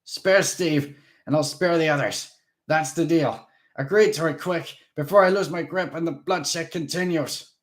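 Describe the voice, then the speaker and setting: A man reading aloud, voicing a desperate male vampire warrior who shouts urgently while straining to hold on. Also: fairly high pitch, a little slow, pitched above the performer's own voice, natural voice.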